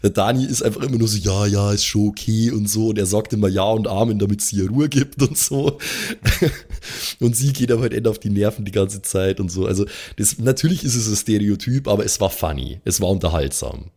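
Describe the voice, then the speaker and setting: Low-voiced man chatting, lilt. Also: a little fast, a little mellow. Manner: mixes English slang into speech, repeats phrases for emphasis. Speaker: podcast co-host. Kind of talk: casual chat.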